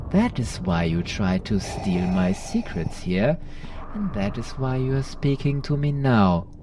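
Slow, wildly swooping ancient Sith spirit. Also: medium pitch, recorded from a distance, background noise. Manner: slow, drawn-out, theatrical. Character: ancient Sith Lord spirit speaking through a mask, sinister, tempting. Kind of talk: audio drama villain acting.

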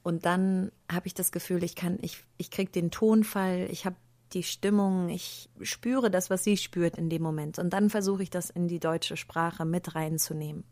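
Friendly, laughing voice actress. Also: female voice, fairly low pitch, normal speed, no room sound. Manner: lively and friendly, laughs often. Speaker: voice actress, interview guest. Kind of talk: casual interview chat.

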